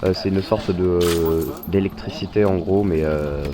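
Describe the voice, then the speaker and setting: A man's voice: low-pitched, fairly even intonation, unhurried, background noise. A man with a head cold, chatting casually and hesitantly as he streams a game live. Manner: hesitant, chatty, thinking aloud. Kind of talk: casual gaming livestream commentary.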